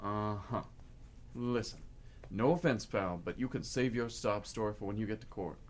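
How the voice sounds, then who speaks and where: A man's voice: on the low side, normal speed, lightly acted. A man acting in a comic radio play as a sardonic, unimpressed policeman, dry and sceptical in manner. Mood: dry, dismissive.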